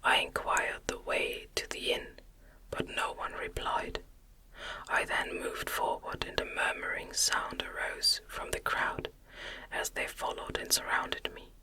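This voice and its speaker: A narrator reading a classic novel in a soft, unvoiced ASMR whisper with a soothing cadence. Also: unhurried.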